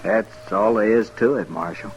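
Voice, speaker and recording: An actor playing a gruff, resigned outlaw drifter with a rural American accent, blunt and plain-spoken, in an old radio western. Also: male voice, fairly low pitch, normal speed, lo-fi sound, natural voice. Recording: noisy vintage radio recording.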